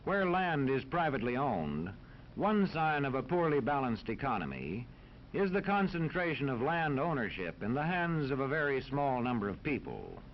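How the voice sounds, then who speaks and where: An American man narrating a scripted 1940s educational film in a formal, authoritative, measured manner. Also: mid-range voice, very animated. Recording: dull 1940s film soundtrack, faint noise.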